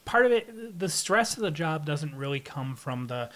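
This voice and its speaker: A man's voice: a little high, sing-song, moderate pace, fairly bright tone. A man speaking as a podcast guest in a candid interview, explaining things in a plainspoken, reflective way. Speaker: podcast guest, air traffic controller.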